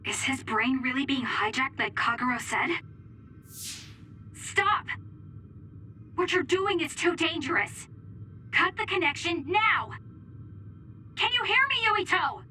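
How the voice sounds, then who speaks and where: Earnest young soldier heroine over music. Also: female voice, high-pitched, sing-song, slow pace, pauses often, bright, forceful tone, distant mic, full character voice. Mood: alarmed, pleading. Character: earnest, urgent young woman soldier in an anime game. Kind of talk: anime game English dub acting.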